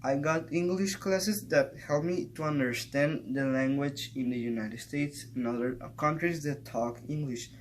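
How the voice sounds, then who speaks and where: A young man who grew up in Mexico, a native Spanish speaker with a Mexican Spanish accent, giving a measured, semi-scripted talk sprinkled with "like". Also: a little high, a little hiss or hum, low-quality recording. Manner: measured, with filler "like". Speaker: student vlogger.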